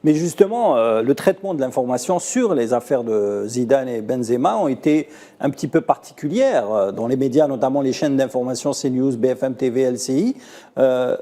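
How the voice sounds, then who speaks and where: A male host in a television studio with a mellow, relaxed tone. He speaks with many hesitant fillers and keeps backing up his guests with short agreeing words. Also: medium pitch, normal speed. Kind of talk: studio talk show hosting.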